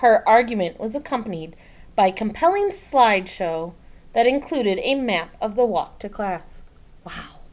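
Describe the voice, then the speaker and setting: Very animated woman reading a picture book. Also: mid-range voice, moderate pace. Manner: explanatory, expressive. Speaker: read-aloud storyteller. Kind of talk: expressive picture-book reading with simple asides to children.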